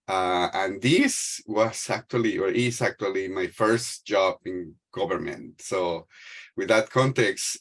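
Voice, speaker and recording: Low-voiced Mexican-accented middle-aged man. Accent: Mexican Spanish. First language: Spanish. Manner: hesitant, many fillers and restarts. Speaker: panel speaker. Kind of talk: panel talk on a video call. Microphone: video call.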